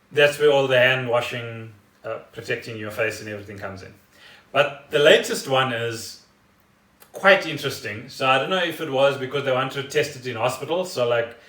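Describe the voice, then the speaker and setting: A man's voice: mid-range voice, sharp tone. A South African man co-hosting a podcast in casual chat, explaining things in a rambling way. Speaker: podcast co-host. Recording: echoey room, distant mic.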